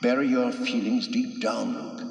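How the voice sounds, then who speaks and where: A man playing a calm, grave old Jedi mentor's ghost in a storybook drama, speaking in a measured way through ghostly reverb. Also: medium pitch, creaky. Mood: grave, gentle. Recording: long echoing reverb.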